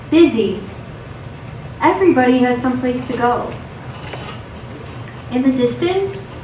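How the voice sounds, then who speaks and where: A woman reading scripted poetry and stories in a measured, recited delivery for radio theatre. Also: high-pitched, a little mellow. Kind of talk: scripted poetry and story narration. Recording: distant echoey stage recording, noisy.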